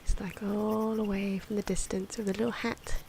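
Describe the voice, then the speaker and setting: A soft-spoken woman giving ASMR drawing commentary, often slipping into a breathy whisper, with hesitant, unhurried phrasing. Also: mid-range voice, level tone. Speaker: ASMR video creator narrating own drawing. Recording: noisy, pencil scratching and ambient sound. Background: pencil and pen noises, outdoor wind.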